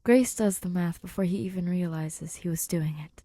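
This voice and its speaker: A woman's voice: on the low side, normal speed, mellow, dry recording, clean studio sound. A woman narrating a written story expressively, as an audiobook-style reader.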